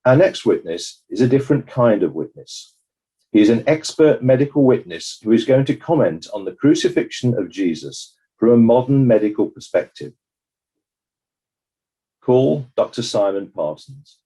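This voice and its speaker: A man's voice: mid-range voice, expressive, normal speed, mellow, slightly rough, rough recording. A man playing a formal, authoritative examiner who questions witnesses at a dramatized inquest.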